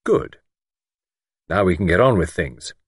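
A man voicing a wry, gently persistent gentleman investigator in an audiobook, asking patient, probing and direct questions. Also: low-pitched, expressive, a little slow, breathy edge, dry recording, studio quality, exaggerated voice. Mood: brisk, confiding. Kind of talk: audiobook character dialogue.

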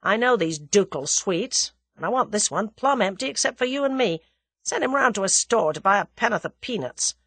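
Lady doing a cocky american rich guy, drawly and slangy, blunt, threatening, bouncy pitch, audiobook. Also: lively intonation, normal speed, a bit bright, husky, no echo. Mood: brash, blunt. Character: brash, menacing young American millionaire man.

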